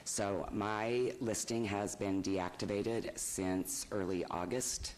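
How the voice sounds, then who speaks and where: Soft-spoken low-voiced man testifying. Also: steady tone, slow pace. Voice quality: low, quiet voice. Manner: hesitant, many ums, apologetic. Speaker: property owner appealing a fine. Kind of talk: public testimony. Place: city council chamber.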